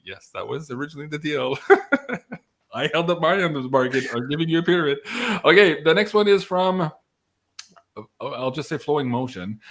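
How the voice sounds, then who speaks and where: A man hosting a live online show in an upbeat, excitable, casual way, reading out viewer questions and announcements. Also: mid-range voice.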